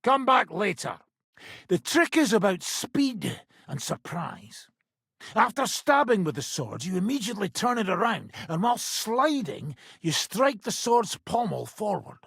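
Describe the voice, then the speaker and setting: A boisterous British sellsword and combat trainer from a video game. His voice is very hoarse and raspy, pitched fairly high for a man, and swings wildly as he swaggers and teases. The recording is dry and close. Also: sing-song, measured pace, a bit bright, dry recording, heavily acted. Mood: instructing, teasing. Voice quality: gravelly, raspy. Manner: swaggering, rough, teasing. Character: boisterous hard-drinking male mercenary swordsman, cocky and crude. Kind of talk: video game character dialogue.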